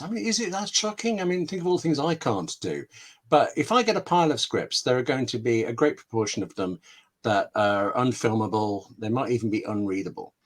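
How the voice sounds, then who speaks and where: A man with a British accent talks as a scriptwriter being interviewed. He is conversational and discursive, leaning on key words and pausing for effect as he hands out practical advice. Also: medium pitch, husky. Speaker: scriptwriter, webinar guest. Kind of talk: conversational interview answers, giving writing advice.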